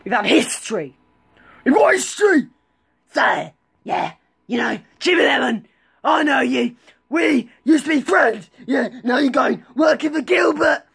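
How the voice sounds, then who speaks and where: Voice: high voice, animated, unhurried, gravelly, fairly bright tone, breathy edge, exaggerated voice. A performer with a British accent voicing a power-mad, mocking villain who rambles and keeps adding tag questions. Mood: ranting, shouting. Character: boastful taunting villain, power-mad and cocky. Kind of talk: amateur villain role-play.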